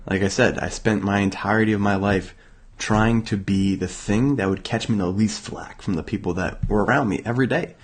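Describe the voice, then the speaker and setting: A young man from Massachusetts hosting a motivational self-help show, hoarse and raspy from illness, talking casually with many fillers and restarts. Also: on the low side, a little fast, few pauses. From Massachusetts, USA. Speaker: podcast host.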